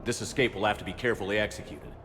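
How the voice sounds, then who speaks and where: A man's voice: fairly low pitch, fairly even intonation, very fast, noisy background, lightly acted. An actor playing a blunt, direct male starship commander who speaks tersely. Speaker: military commander. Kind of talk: video game voice acting.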